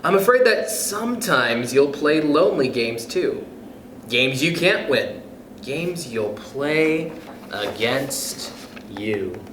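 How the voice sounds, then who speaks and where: Man, youth club storyteller, unhurried and sing-song, playful picture-book reading, echoey room. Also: medium pitch, noisy background. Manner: expressive, playful, chuckles between passages. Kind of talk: children's picture book read-aloud.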